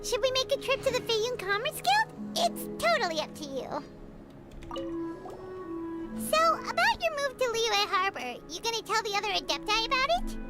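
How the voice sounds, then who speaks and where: A woman voicing a chirpy, childlike little fairy companion with a squeaky voice in a video game. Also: big pitch swings, normal speed. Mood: cheerful, curious. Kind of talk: video game character dialogue. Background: loud background music.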